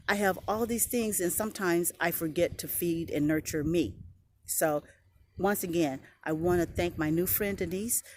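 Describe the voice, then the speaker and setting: A woman's voice: medium pitch, a little mellow. A middle-aged woman talking earnestly in a reflective personal vlog, recorded outdoors on a phone or camera, sniffling now and then. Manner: earnest, heartfelt, searching for words. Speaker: vlogger. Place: outdoors, airplane passing overhead.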